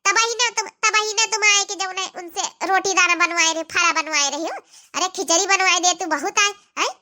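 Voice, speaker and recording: A woman's voice: very high-pitched, brisk, bright, forceful tone, full character voice. A woman voicing a fiery, combative young village sister-in-law in an Awadhi comedy cartoon, scolding in an Awadhi accent. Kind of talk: Hindi-belt comedy cartoon dialogue.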